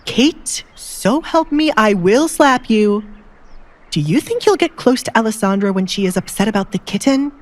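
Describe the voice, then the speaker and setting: A woman's voice: fairly high pitch, big pitch swings, normal speed, voice pushed up. A woman voicing a scheming, domineering middle-aged baron father in an audio drama, commanding and calculating. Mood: stern, threatening.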